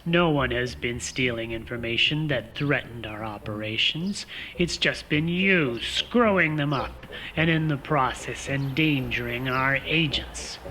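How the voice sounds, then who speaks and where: A man playing a stern spymaster in a comic radio play, commanding, exasperated and sardonic in a deliberate delivery. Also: mid-range voice, sharp tone, a little hiss or hum. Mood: scornful, dry. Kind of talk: comic radio theater acting.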